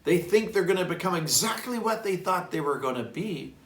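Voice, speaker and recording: A man, a priest, reading a children's story aloud in an expressive, deliberate way and adding reflective asides. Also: sits high. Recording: roomy echo, distant microphone.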